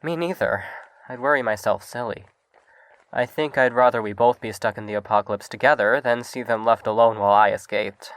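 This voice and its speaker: A man's voice: medium pitch, brisk, fairly bright tone, no echo, close to an everyday voice. Man acting a nervous lone apocalypse survivor, dry sound. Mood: concerned. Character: anxious, self-deprecating man travelling alone through zombie apocalypse. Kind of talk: audio drama acting, casual dialogue.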